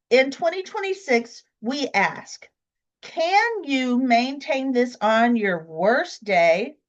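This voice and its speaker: A woman's voice: on the high side, highs cut off. A woman who is a professional organizer, hosting a casual solo podcast while congested with a cold, chatty with fillers and restarts.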